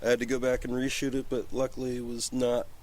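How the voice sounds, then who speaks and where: A man's voice: medium pitch, monotone, fast, darkish tone, slight background noise. A man in casual conversation as a podcast guest talking about film work, slightly slurring his words, recorded outdoors on a porch. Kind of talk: casual chat.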